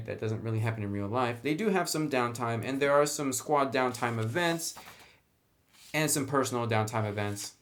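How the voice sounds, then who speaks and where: A man with a mid-pitched voice talks through a review in a casual, conversational way. He sounds enthusiastic about his material, explaining it informally as he goes. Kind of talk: casual tabletop rulebook review.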